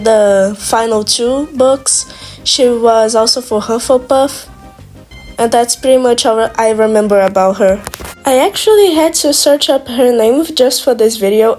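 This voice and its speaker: A woman's voice: a little high, darkish tone. A young woman from Brazil whose first language is Portuguese, chatting casually in a rambling, hesitant way with many restarts. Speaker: video creator. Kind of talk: casual monologue.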